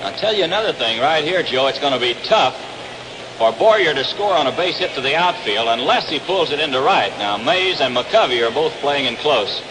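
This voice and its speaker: A man's voice: on the high side, lively intonation, normal speed, noisy background. An American man calling baseball play-by-play live on an old 1960s radio broadcast. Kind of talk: live radio sports commentary. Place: open-air ballpark broadcast position.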